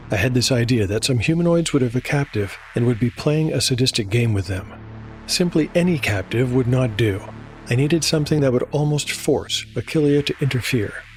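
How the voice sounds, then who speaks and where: A man narrating a dark fantasy tabletop audiodrama in a measured, deliberate, scripted storytelling style. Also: medium pitch.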